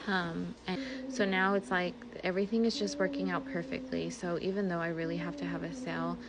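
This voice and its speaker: Low-voiced woman with creaky, noisy recording. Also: little pitch movement, brisk. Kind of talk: casual personal storytelling.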